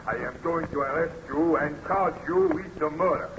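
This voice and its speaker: A stern village burgomaster played by a man in an old radio drama, with a fairly high voice. He speaks in a blunt, officious, accusing way. The recording is muffled and heavy with noise. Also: normal speed, lightly acted. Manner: blunt, formal, interrogating.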